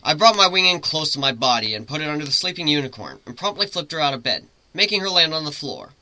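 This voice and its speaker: A man's voice: on the high side, very animated, fast talker, sharp tone, rough recording. A man reading a story aloud as its narrator, in a dramatic reading style.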